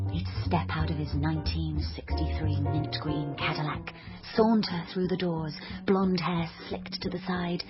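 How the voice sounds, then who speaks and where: A woman's voice: deep voice, lightly acted. A woman playing a bookish, awkward young dropout who tells her story like a crime novel in a radio drama, self-deprecating and dramatic. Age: young adult. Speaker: narrator and lead character. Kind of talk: radio drama, first-person noir-flavoured narration and dialogue. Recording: dull sound with music behind. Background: music.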